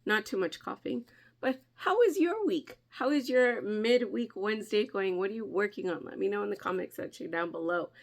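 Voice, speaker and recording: A woman's voice: medium pitch, lively intonation, moderate pace, gravelly. A woman hosting a crafting vlog in casual chat, stuffy and nasal from a cold, talking from her kitchen at home. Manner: chatty, friendly, often laughing. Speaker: crafting channel host.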